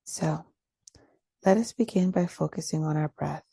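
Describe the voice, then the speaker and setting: A woman leading a guided meditation in a soft, breathy voice, soothing and unhurried, pausing between short phrases. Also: low-pitched, dry recording. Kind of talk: guided meditation, gentle scripted instruction.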